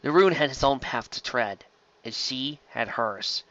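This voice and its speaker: A man's voice: mid-range voice, expressive, measured pace, fairly bright tone. A man reading science fiction as a dramatic narrator, recorded close and slightly dull. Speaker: story reader.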